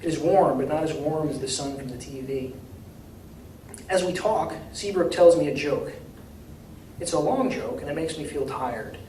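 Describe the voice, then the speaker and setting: A man giving a live reading of literary fiction in a measured, deliberate way, recorded from a distance in a room. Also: on the high side, a little mellow. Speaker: author reading from his novel. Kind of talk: live literary reading, dramatic first-person narration. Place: indoor reading at a university. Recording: distant, echoey room with faint noise.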